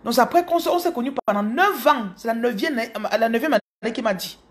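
A woman in her thirties from Cameroon with a Cameroonian French accent, telling her story to her followers in an animated, casual way, speaking close to a phone in a small room. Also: on the high side, a little fast. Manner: animated, repeats words, addresses viewers directly. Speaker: business coach. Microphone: phone camera held close.

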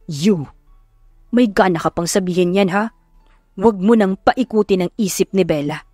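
A woman's voice: mid-range voice, moderate pace, warm tone, no echo, clean studio sound, soft background music, lightly acted. A woman voicing a stern, protective male CEO suitor in an audiobook romance drama, firm and scolding. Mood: angry, scolding.

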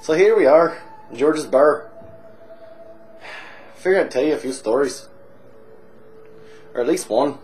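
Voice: animated, slow pace, pauses often, darkish tone, soft background music, low-quality recording. A performer playing a crude, cheerful Irish drinker with a put-on Irish accent, swaggering through a comic monologue. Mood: rowdy. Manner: crude, swaggering, jumps between high squeals and low mutters. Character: rowdy boozy Irish man, crude and cheerful.

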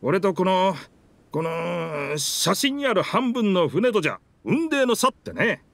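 High, boastful talking pirate ship. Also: male voice, sing-song, a little slow, a bit bright, no echo, exaggerated voice. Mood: proud, boastful. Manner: boastful, theatrical, rough masculine speech. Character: talking pirate ship, proud boastful male, hearty and dense. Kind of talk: Japanese game dub dialogue. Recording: music under the dialogue.

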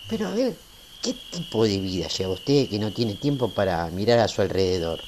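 A man's voice: on the low side, big pitch swings, noisy background. An actor playing an easygoing, teasing small-town railway man in a radio drama, folksy. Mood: reflective, probing. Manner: folksy, unhurried, conversational.

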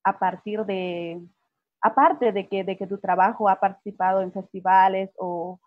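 A woman's voice: mid-range voice, steady tone, moderate pace, dry recording. A woman moderating an online panel, asking long questions and rephrasing as she goes, heard over a video call. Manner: searching for words, rephrasing questions. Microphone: laptop webcam video call. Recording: dry, dull video-call audio.

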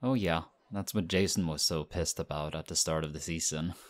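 A man voicing a friendly, modest young male team captain in an audio roleplay, talking in a relaxed, chatty way. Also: very deep voice, normal speed. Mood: excited, friendly.

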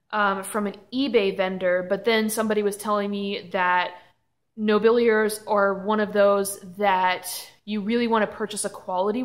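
A woman's voice: medium pitch, fairly bright tone. Chatty young woman with a Southern accent. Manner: enthusiastic, rambling, laughs easily. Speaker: orchid channel host. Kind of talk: casual chatty vlog. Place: home room indoors. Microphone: webcam at desk.

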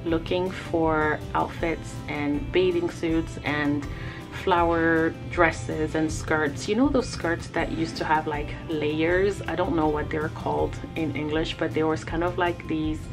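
A woman's voice: deep voice, steady tone, slow. A woman whose first language is Lingala, from the Congo, chatting casually and reminiscing as she tells a story. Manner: chatty and reminiscing, with frequent laughs and fillers. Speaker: artist vlogger. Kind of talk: casual storytelling over a timelapse. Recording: music playing under the voice.

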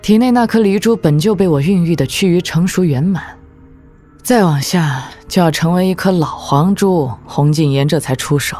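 A woman playing a proud, formidable martial-arts demon lord in an audio drama, with an imperious, measured delivery. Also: fairly low pitch, mellow, soft background music, natural voice. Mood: grave, cool.

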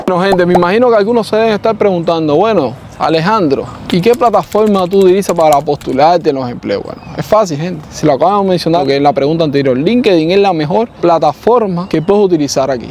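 A man with a Cuban accent, a software developer hosting a casual vlog, who chats loosely and keeps asking if you follow him. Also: sits high, slight background noise. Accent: Cuban Spanish. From Cuba. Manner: chatty, often adds "¿me entiendes?". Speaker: software developer and channel host.

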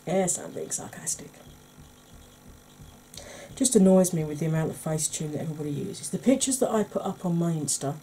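A middle-aged woman with a Welsh accent giving an ASMR makeup tutorial at home, talking softly and often in a whisper, chatty with a dry sarcastic streak. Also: low-pitched, animated, a little fast, pauses often, a little hiss or hum. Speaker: beauty YouTuber. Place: indoors at home, face in close-up.

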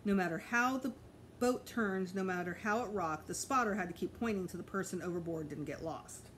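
A woman's voice: medium pitch, fairly quick, fairly bright tone. A middle-aged woman reading a children's story aloud like a teacher to pupils, expressively and with small slips and self-corrections. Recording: clear background noise, home recording.

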